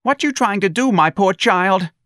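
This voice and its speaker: A man's voice: high voice, animated, normal speed, bright, forceful tone, no echo, clean studio sound, voice pushed up. A man voicing a protective, indignant older working-class mother who scolds and pleads in colloquial speech.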